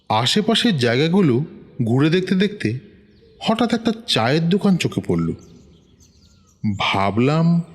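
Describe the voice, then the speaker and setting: Slow suspenseful male storyteller. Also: mid-range voice. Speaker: narrator. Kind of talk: first-person horror story narration. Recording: long reverb, music underneath.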